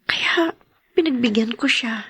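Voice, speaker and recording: An actress playing a long-suffering wife in a radio drama, soft and forgiving. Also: female voice, a little high, unhurried, vocal fry, slightly rough, heavily acted. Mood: earnest.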